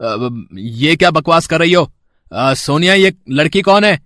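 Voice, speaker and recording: Man playing a cocky, higher-pitched college boy. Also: lively intonation, unhurried, bright, forceful tone, no room sound, clean studio sound. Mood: indignant. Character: spoiled, sly rich college boy. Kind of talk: dramatised Hindi moral story.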